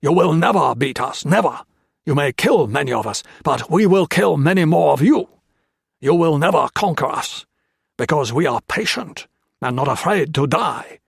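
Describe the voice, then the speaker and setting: A man's voice: a little high, animated, moderate pace, gravelly, husky, dry recording, clean studio sound, voice pushed up, full character voice. A male narrator voicing a snarling, menacing Japanese camp officer in a wartime audiobook. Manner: snarling threats.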